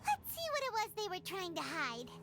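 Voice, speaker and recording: Very high-pitched childlike fairy sidekick. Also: female voice, huge pitch swings, brisk, very noisy, full character voice. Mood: puzzled, curious. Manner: exclamatory, inquisitive. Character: small excitable childlike fairy companion, female, curious. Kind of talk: video game character acting.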